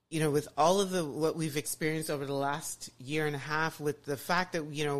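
Enthusiastic lower-voiced woman podcast host. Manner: enthusiastic, many restarts and "you know". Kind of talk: casual podcast chat.

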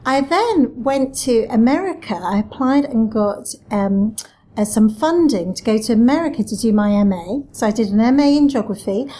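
Mellow-voiced woman in her forties. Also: fairly high pitch, lively intonation, moderate pace. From Wales. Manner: chatty, self-deprecating humour. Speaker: guest, communications director. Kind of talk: interview answers. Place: office.

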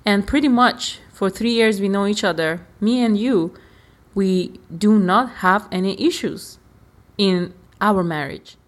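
A woman's voice: medium pitch, unhurried. A woman co-hosting a casual podcast, a non-native English speaker whose phrasing drops small words. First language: not English. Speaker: podcast co-host. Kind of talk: casual conversational talk.

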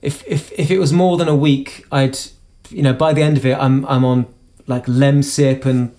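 A singer-songwriter from the UK, talking in an interview about his singing, with a high voice and halting speech full of restarts. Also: steady tone, dark tone. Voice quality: self-described high voice. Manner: halting, many restarts and repeated words. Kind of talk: conversational interview.

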